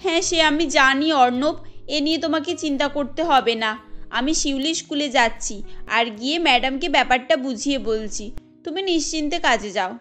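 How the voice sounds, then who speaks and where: A woman plays a caring cartoon mother short of money. Her voice is very high and earnest, with faint music underneath. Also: normal speed, a bit bright. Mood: reassuring. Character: anxious, hard-up young mother, polite and caring. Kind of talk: cartoon story dialogue.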